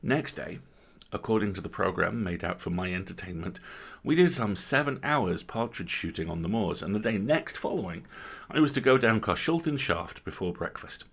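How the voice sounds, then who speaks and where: A man narrates a literary tale in a deep voice with plenty of fry. His phrasing is theatrical and emphatic, set off by deliberate pauses. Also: expressive, fairly bright tone. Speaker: story reader, host.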